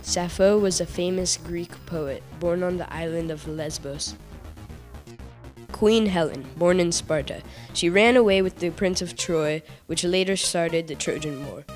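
A woman with a fairly low voice reads narration from a paper list in a steady, plain way. Faint music runs quietly underneath her. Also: measured pace. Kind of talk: read-aloud narration.

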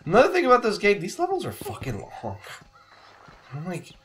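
A man's voice: high voice, huge pitch swings, a little fast. A man in his thirties chatting casually and joking with lots of swearing as he plays a video game on a couch. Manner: rambling, joking, heavy swearing. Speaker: let's play host playing a video game. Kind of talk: casual chat and gameplay commentary. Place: living room couch, indoors.

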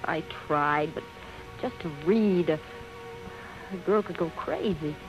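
An actress playing a spoiled, whiny, uneducated social-climbing young wife in 1930s radio drama, wheedling and slangy. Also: female voice, on the low side, takes it slowly. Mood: needy, coy. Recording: dull old radio recording, faint music.

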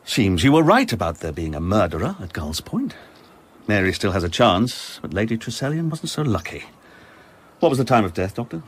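An actor playing a dry, sardonic male police inspector in a radio play, husky, wry and sceptical. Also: fairly low pitch, sing-song, moderate pace. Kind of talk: dramatic radio play acting.

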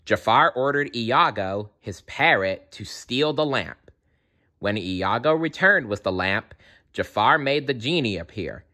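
A man reading a children's storybook aloud in an animated style, with playful asides to the listener. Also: mid-range voice, unhurried. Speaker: storybook reader.

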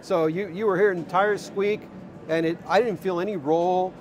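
A man in his fifties talks as an interview guest, recounting what he saw without a script. His voice sits a little high for a man. It is recorded on a clip-on mic in a big indoor hall, with clear ambient noise behind him. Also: fairly even intonation, normal speed. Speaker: interview guest, electric car enthusiast. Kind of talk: unscripted interview chat, recounting a visit. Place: large indoor car show hall. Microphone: clip-on wireless lapel. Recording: noisy exhibition hall ambience.

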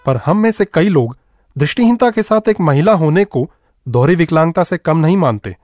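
A man presenting a radio programme with scripted, expressive and measured narration. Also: on the high side, no echo, clean studio sound.

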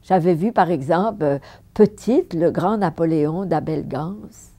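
A woman's voice: lowish voice, unhurried. An older woman from France with a Parisian accent, an actress recalling her career in a seated interview, talking emphatically and repeating words for stress. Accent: Parisian French. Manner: animated, emphatic repetitions, frequent laughs. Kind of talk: reminiscing interview. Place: living room with fireplace, indoors.

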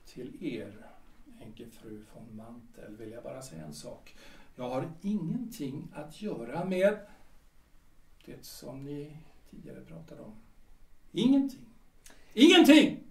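An actor playing an irritable, domineering café owner in an audio drama, halting when cornered. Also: male voice, medium pitch, sing-song, gravelly, darkish tone. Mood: defensive, agitated. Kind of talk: Swedish radio drama dialogue. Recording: echoey room, slightly far from mic.

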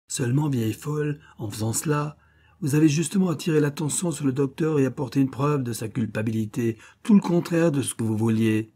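A man voicing a brutal, sneering male SS officer in an audiobook, shouting with contempt. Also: on the low side, fairly even intonation, a little slow, few pauses, breathy edge. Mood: contemptuous.

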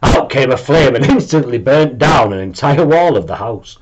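A man around his forties narrating a children's story in an animated, deliberate way. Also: mid-range voice, highs cut off, heavy background noise. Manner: expressive, deliberate. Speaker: storybook reader. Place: small room at home, indoors.

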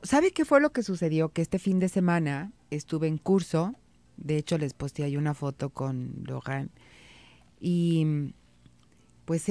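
A middle-aged Mexican woman hosting an advice radio show from a studio, calm and reassuring, with a head cold. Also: low voice, no echo. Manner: calm, reassuring, reads listener messages aloud. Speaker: radio psychologist host. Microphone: studio mic on boom arm.

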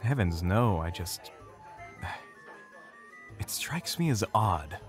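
A man's voice: lowish voice, lively intonation, extremely slow. A man playing a reserved, serious traveling swordsman with a formal, restrained manner. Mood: guarded. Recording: music bed under the voice.

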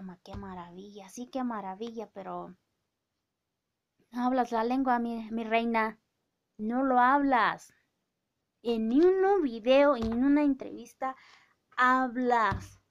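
Slow-talking indignant Mazatec woman vlogger. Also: fairly high pitch, dull audio. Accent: Mazatec-accented Mexican Spanish. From Oaxaca, Mexico. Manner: indignant, scolding, drawn-out speech with many fillers. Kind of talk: casual opinion rant to camera. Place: small indoor room with bookshelves. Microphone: phone camera at arm's length.

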